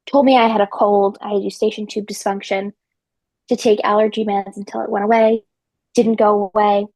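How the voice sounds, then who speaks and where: A woman's voice: medium pitch, level tone, normal speed, a little mellow, dry recording. A woman in her twenties from New Jersey, a podcast guest telling her story in a chatty way, with many ums and likes and some technical terms. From central New Jersey. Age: 20s. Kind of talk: casual conversational storytelling.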